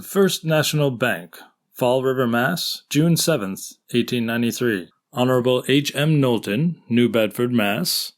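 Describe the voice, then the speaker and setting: A formal 1890s prosecutor acted by a man, with a medium-pitched voice. He reads documents aloud in a deliberate, orderly courtroom manner for a dramatized trial. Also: expressive, close to an everyday voice. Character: male prosecuting attorney reading a letter, formal. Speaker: actor reading a lawyer's part.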